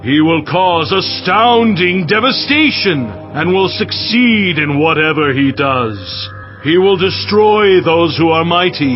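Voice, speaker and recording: An actor playing a commanding angel messenger, declaiming solemnly in a dramatized scripture reading. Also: male voice, sits high. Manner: slow, declamatory, portentous. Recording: dull audio under background music.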